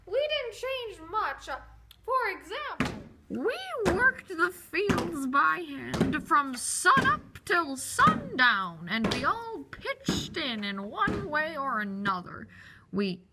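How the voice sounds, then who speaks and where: A woman's voice: extremely high pitch, sing-song, slow, very noisy, heavily acted. A woman playing a doting, forgetful old granny. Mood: reminiscing. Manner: rambling, full of hesitations. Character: elderly Irish grandmother, doting, forgetful. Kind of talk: amateur skit acting.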